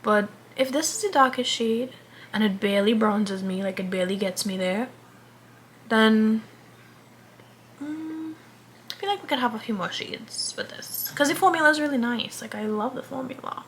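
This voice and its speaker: A woman's voice: on the high side, lively intonation, moderate pace, a little hiss or hum. A woman in her twenties chatting casually while doing her makeup, rambling and interrupting herself, sometimes dropping into a whisper. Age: 20s. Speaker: vlogger.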